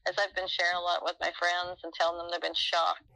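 A woman's voice: lowish voice, monotone, quick pace, creaky, sharp tone. A woman confiding earnestly and piously on a casual recorded phone call, repeating herself as she goes. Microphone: telephone.

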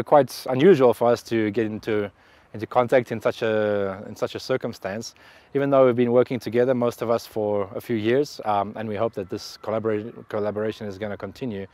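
A man's voice: mid-range voice, a little mellow, no room sound. Chatty man interviewing outdoors, dry sound. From South Africa. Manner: chatty, hesitant with frequent uhs. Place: sunny outdoor campus. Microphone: handheld mic.

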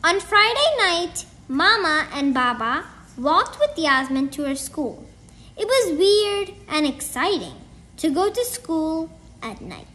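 A woman's voice: high-pitched, very animated, takes it slowly, pushed and bright. A woman reading a children's storybook aloud in a careful, word-by-word way. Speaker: storybook reader. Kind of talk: children's book read-aloud.

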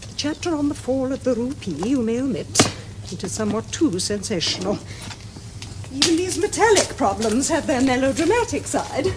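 An actress playing a prim, stern middle-aged English governess in a period comedy, with precise, pompous diction. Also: female voice, high voice, sing-song, moderate pace. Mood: coy, delighted. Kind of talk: period comedy screen acting.

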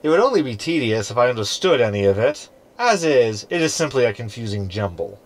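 An actor playing a sardonic, unimpressed holographic crewmate in a science fiction audio sitcom. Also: male voice, mid-range voice, sing-song, a little fast, lightly acted. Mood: sarcastic. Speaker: actor in sci-fi comedy audio drama.

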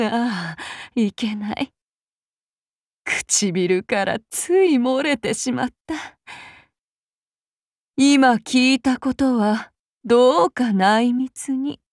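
Casting: anime game warrior demigoddess, high-pitched, extremely unhurried, archaic courtesy over menace. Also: female voice, dry recording, studio quality, heavily acted. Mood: coy, longing. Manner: archaic formal polite speech, slow and deliberate. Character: soft-spoken, courteous, menacing female avenger demigod warrior. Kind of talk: anime mobile game voice lines.

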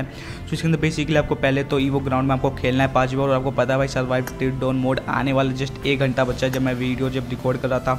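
Fast, even-toned Indian male gaming host. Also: medium pitch. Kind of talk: casual gaming video commentary. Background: background music.

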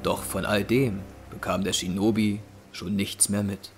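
A man's voice: fairly low pitch, flat delivery, unhurried, slightly rough. A man narrating an audio drama in a scripted, dramatic storytelling style. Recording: voice over prominent music. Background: music.

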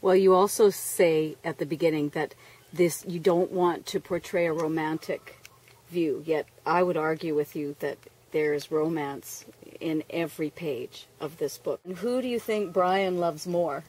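A woman's voice: deep voice, unhurried, slightly rough, low-quality recording. A woman interviewing an author on a farm patio, complimentary and teasing. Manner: warm praise, playful teasing questions. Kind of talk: book interview.

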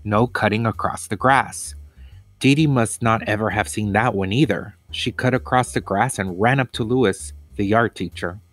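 A man with a fairly low voice narrates a children's book. He reads deliberately and expressively, pausing between phrases. The sound is close and dry, with faint music underneath. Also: fairly bright tone, no room sound. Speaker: storyteller reading aloud.

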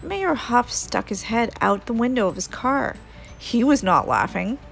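A woman reading a children's story aloud as an expressive storyteller. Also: on the high side, unhurried, vocal fry, faint music behind.

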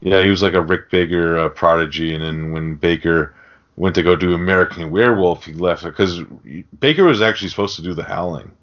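A man with a very low voice talks casually about films as a podcast co-host. He explains details with sweary enthusiasm, and the recording is slightly dull and lo-fi. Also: brisk. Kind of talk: casual film discussion.

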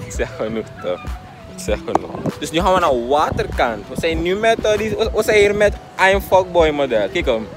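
A man's voice: a little high, big pitch swings, normal speed, over music. A young man hosting a casual street interview vlog outdoors, joking and hyped as he talks into a phone held at arm's length. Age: young adult. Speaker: vlog host interviewing people. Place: outdoors on city streets and terraces. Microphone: handheld phone at arm's length.

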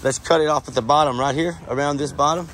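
A man's voice: on the high side, fairly even intonation, fast, a bit bright, slight background noise. A man, explaining a yard job step by step in a casual, coaching way. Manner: coaching, talks things through step by step. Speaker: landscaper, vlogger. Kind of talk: instructive casual chat. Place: outdoors, in a yard.

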